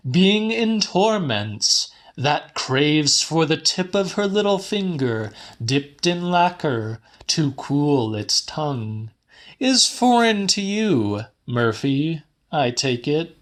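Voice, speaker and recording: A man's voice: a little high, big pitch swings, slow, fairly bright tone, voice pushed up, heavily acted. A man voicing a lovesick, grandiloquent philosopher in an audiobook, declaiming emphatically as if lecturing a pupil. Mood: lofty, fervent.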